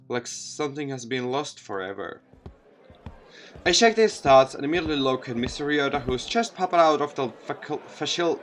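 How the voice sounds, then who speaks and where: A man's voice: on the high side, fairly bright tone. A man reading visual novel text aloud as a let's play host, theatrical, sometimes stumbling over words and correcting himself.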